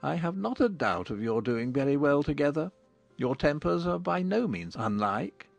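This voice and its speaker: A man performs an older gentleman father from a period audiobook, fond and dryly teasing in a measured way. His voice is creaky and fairly high for a man. Music plays behind the dry recording. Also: big pitch swings, no room sound, heavily acted. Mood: fond, wry. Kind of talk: dramatized audiobook acting of a period novel.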